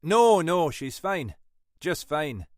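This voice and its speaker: A man's voice: fairly high pitch, sing-song, darkish tone, dry recording, pitched above the performer's own voice. A man voicing a wry Scottish detective inspector. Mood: polite. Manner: dry, brisk, wry. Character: shrewd older Scottish male detective inspector.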